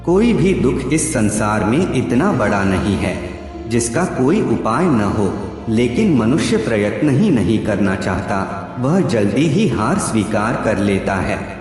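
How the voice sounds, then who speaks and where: A man delivers scripted spiritual quotes at a slow pace, solemn and preaching in manner. His pitch moves expressively as he narrates. The voice is drenched in echo, with music behind it. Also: very animated, darkish tone. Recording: very echoey reverberant voice track.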